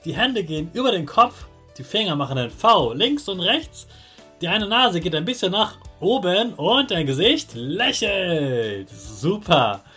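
Guy doing a kids podcast, bit husky, encouraging n simple words, music behind him. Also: high voice, very animated, unhurried, sharp tone. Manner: encouraging, addresses the child directly in simple words. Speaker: host of a children's podcast. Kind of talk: motivational children's podcast talk.

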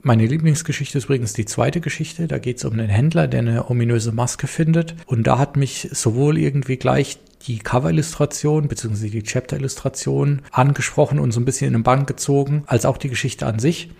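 Gravelly, husky man reviewing a book. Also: medium pitch, brisk, few pauses. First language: German. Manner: relaxed, thinking aloud with fillers and hedges. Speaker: podcast host reviewing books. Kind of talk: casual solo review.

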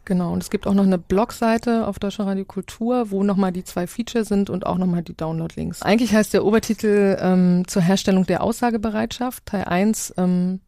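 Woman, medium pitch, analytical podcast talk, dry sound. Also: fairly even intonation, fairly quick, few pauses, mellow, dry recording.

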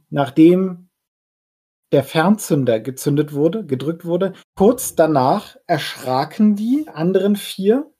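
A man's voice: fairly high pitch, mellow, slightly rough, exaggerated voice. A man playing a polite, analytical vampire investigator in an audio drama, speaking formally and hesitantly. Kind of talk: German audio drama role-play acting.

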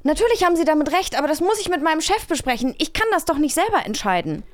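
Woman playing a dutiful, high-voiced worker. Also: big pitch swings, fast talker, no room sound. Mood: apologetic. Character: dutiful, apologetic lowly construction worker. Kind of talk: improvised read-through of children's audio drama.

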